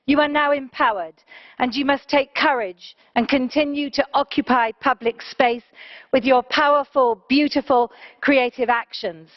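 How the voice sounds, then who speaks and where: High-voiced woman giving an earnest speech. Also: normal speed, muffled recording. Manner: earnest, declamatory address. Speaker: member of parliament. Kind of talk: formal parliamentary speech.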